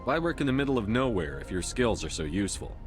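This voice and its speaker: A resolute military commander speaks in short, matter-of-fact phrases with firm authority. The voice is fairly low, set in a video game scene with clear music behind it. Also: male voice, big pitch swings, quick pace. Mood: terse, steady.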